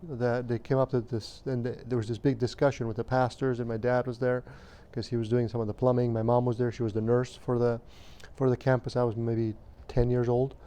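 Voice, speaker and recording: A man in his fifties, originally from Romania, speaks in a mellow, smooth voice. His delivery stays fairly flat and even, and he moves through his memories with measured hesitation and frequent fillers. He sounds like an interviewee looking back on his childhood. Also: mid-range voice. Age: 50s.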